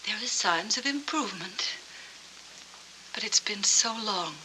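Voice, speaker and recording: An actress playing a lonely, wistful woman with a hazy memory in a 1940s film noir, speaking in halting, dreamy, hushed phrases. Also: mid-range voice, expressive, a little hiss or hum, rough recording. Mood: guarded, polite. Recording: old 1940s film soundtrack, music behind.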